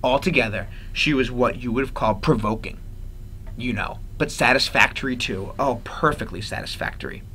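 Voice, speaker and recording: A man with a mid-range voice reads aloud as a teacher would. His storybook narration is expressive. The recording carries some background noise. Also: mid-range voice, moderate pace, low-quality recording.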